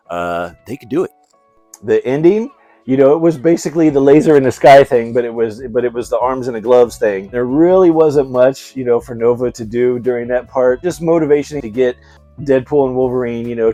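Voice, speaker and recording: A man talks like a laid-back, enthusiastic fan hosting his own video channel. His voice sits at a medium pitch, and his delivery is chatty and full of slang. Music plays behind him. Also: mellow, dry recording. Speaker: YouTube host reviewing movies and toys. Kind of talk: casual, chatty vlog commentary.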